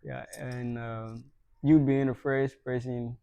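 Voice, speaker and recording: A young man hosts a podcast in a relaxed, conversational way, often laughing and repeating words as he gets going. His voice is mellow and dark. The recording is dry and close. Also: medium pitch, slow, creaky, smooth, dry recording. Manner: warm, laughs often, repeats words when starting a sentence. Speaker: podcast host. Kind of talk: casual podcast interview.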